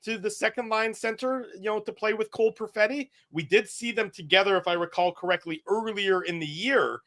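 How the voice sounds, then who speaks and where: Male hockey co-host, bright tone, slightly higher pitch, speculative and questioning. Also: a little fast. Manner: speculative, tosses out questions and ideas. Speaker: co-host of a hockey talk show. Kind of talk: casual sports talk.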